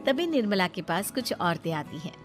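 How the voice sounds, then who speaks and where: A woman's voice: on the low side, big pitch swings, moderate pace, faint music behind. A woman reading scripted story narration.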